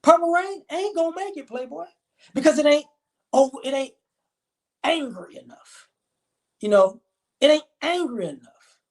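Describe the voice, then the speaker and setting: A man's voice: extremely high pitch, expressive, measured pace, pauses often. A man in his forties hosting a live stream, talking in a rambling, emphatic, slangy way. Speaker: livestream host. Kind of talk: casual live-stream commentary.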